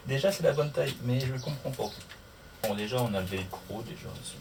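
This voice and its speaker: A man's voice: mid-range voice, expressive, normal speed, darkish tone. A man chatting casually to camera as a vlogger doing a hands-on tutorial, often muttering to himself as he works through the steps. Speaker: motorcycle vlogger. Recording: distant mic, clear background noise.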